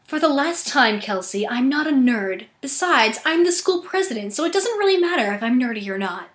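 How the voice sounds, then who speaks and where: Haughty student president for a cartoon adult female performer, high-pitched, prim, dismissive. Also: expressive, moderate pace, a bit bright, roomy sound. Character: prim, proud cartoon schoolgirl class president.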